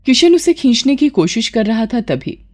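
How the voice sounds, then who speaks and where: A woman's voice: on the high side, very animated, normal speed, a little mellow. A woman narrates a moral story in a scripted storytelling style.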